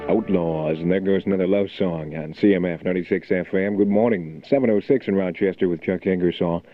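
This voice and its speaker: A man with a deep voice works as a morning rock DJ. His delivery is laid-back and conversational. The recording is an old radio aircheck, narrow and tinny, with music playing behind him. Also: steady tone, fairly quick. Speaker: radio DJ, morning show host. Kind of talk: album rock radio DJ patter with local announcements. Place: radio studio.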